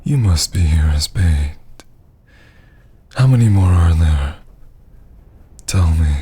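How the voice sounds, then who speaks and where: Vampire lord: haughty, menacing, theatrical ASMR delivery, very husky and whispery, low-pitched. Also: male voice, animated, mellow, full character voice. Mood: suspicious, taunting. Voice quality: breathy, husky, often dropping to a whisper. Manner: slow, theatrical, archaic menacing phrasing. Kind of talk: scripted ASMR roleplay.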